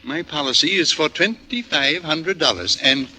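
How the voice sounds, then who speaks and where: An actor playing a gentle, lonely old lodger in a 1940s radio drama, polite, hesitant and wordy. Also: male voice, fairly high pitch, animated, normal speed, slightly rough, heavily acted. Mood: genial, resigned. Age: older. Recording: noisy old radio broadcast recording.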